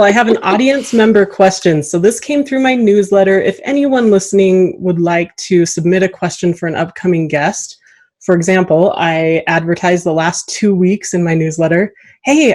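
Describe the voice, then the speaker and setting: A woman's voice: medium pitch, dark tone. A woman hosting an interview in a casual, informal and enthusiastic way. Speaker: host, interviewer.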